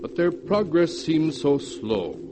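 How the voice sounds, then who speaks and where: A man acting as an ominous storyteller, narrating a 1940s radio horror tale in a theatrical, deliberate style. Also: fairly high pitch, big pitch swings. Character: ominous storyteller of a sealed book. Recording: noisy vintage radio recording.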